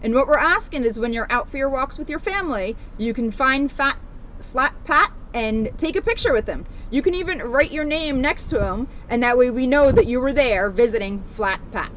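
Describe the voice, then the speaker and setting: A woman's voice: high voice, animated, moderate pace, fairly bright tone, muffled recording, a little hiss or hum. A woman police officer speaking to her community in a storybook read-aloud and informal style. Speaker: police officer reading a children's book to the community.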